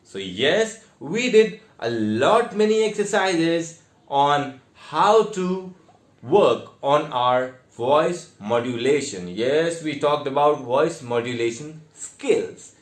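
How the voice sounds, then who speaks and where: A man with an Indian accent giving a theatre lesson in a deliberate, step-by-step way with emphatic stressed words. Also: a little high, lively intonation. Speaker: theatre teacher. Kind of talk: instructional online lesson with demonstrations. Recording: echoey, reverberant room.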